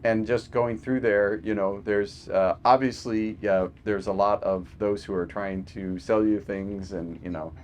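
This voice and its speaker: Chatty low-voiced male doctor. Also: steady tone, measured pace, few pauses, darkish tone, slight background noise. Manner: chatty storyteller, frequent fillers and laughs. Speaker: physician guest. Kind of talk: casual remote podcast conversation.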